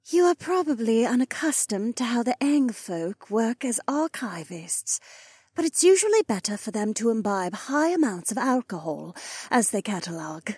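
A woman voicing a calm, knowledgeable female companion who explains in a soft, breathy way. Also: a little high, normal speed, no echo, clean studio sound. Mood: explaining.

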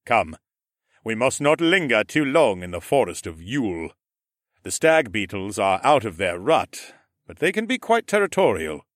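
A man voicing a stately, formal male ram lord who speaks in measured, courtly phrases. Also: on the low side, big pitch swings, fairly bright tone, no echo, clean studio sound. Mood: earnest.

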